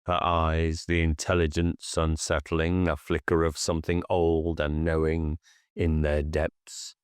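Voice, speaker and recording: Male audiobook narrator: very deep, unhurried, deliberate horror storytelling in a dry recording. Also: completely flat delivery, smooth, no room sound. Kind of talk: scripted horror fiction narration.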